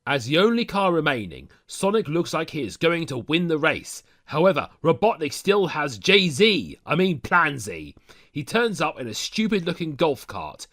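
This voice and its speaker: Animated man narrating with sardonic asides. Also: a little high. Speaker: narrator of a fan audio story. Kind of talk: scripted comic recap narration.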